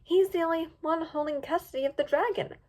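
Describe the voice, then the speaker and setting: A woman's voice: extremely high pitch, a little fast, a little mellow, pitched above the performer's own voice. A woman voicing an eager, easily distracted young female pony in a storybook reading. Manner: eager, pleading, bubbly.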